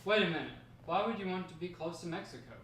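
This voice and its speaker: Roomy distant recording, man playing inquisitive grandson, fairly high voice, persistent, table-read drama. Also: expressive, quick pace, a bit bright, slight background noise. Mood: curious. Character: curious, persistent grandson questioning his grandfather. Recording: distant, very echoey room.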